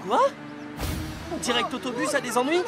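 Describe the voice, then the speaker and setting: A helpful rescue police car in a children's cartoon. The voice is high and animated, friendly and encouraging, with loud music behind it. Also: male voice, a little fast. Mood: urgent. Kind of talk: children's animated series dub.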